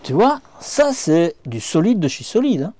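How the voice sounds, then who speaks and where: A man's voice: sits high, big pitch swings, measured pace, warm tone. A middle-aged man giving a chatty walkthrough of a camper van's interior, talking straight to the viewer on a clip-on mic. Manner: chatty, addresses viewer directly, frequent hop-hop and hein. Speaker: motorhome reviewer. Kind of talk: casual walkthrough vlog. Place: inside a camper van. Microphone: small clip-on mic.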